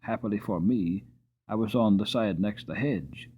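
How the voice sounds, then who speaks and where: A man reading a storybook aloud as a dramatic, expressive audiobook narrator telling a tale in the first person. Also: fairly low pitch, husky. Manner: expressive, slowing on sad passages.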